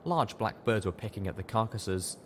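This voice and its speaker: Male storyteller, lowish pitch, scripted first-person narration, audible background noise and ambience. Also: animated, normal speed. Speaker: audiobook reader.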